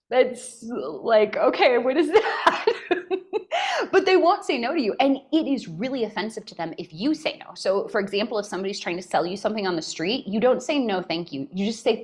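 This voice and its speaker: An American woman in her forties, a podcast guest telling stories in a casual, animated way and often laughing. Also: medium pitch. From United States. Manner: animated and expressive, breaks into laughter. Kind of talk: casual conversational storytelling.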